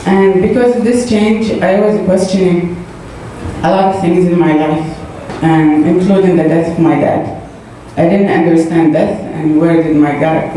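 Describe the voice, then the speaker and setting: A woman's voice: lowish voice, warm tone. Woman in an echoey church. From Saudi Arabia. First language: Arabic. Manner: earnest, halting, with fillers. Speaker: guest giving testimony. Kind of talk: personal testimony to a congregation. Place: church hall. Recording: echoey hall, distant, noisy.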